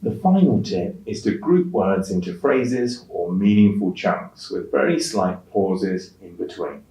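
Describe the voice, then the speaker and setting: A man teaching an English test-prep lesson in a measured, clearly enunciated way, stressing key words. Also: low-pitched, expressive, mellow. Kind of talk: instructional lesson narration with read-aloud examples. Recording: roomy echo, distant microphone.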